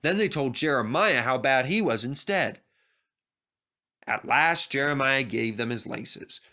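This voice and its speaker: A man narrates a children's tale from a script. His voice is bright and lively in pitch, with an expressive storybook delivery. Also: unhurried.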